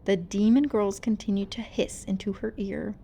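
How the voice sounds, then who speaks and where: A woman reading a storybook aloud in an expressive, suspenseful, dramatic narrating style. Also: mid-range voice, moderate pace, vocal fry, slight background noise. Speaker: storybook reader.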